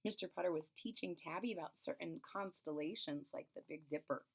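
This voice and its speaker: A woman's voice: deep voice, fairly quick, slight background noise. A woman reading a children's storybook aloud expressively, drawing out phrases and adding explanatory asides about the pictures. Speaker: storybook reader and channel host.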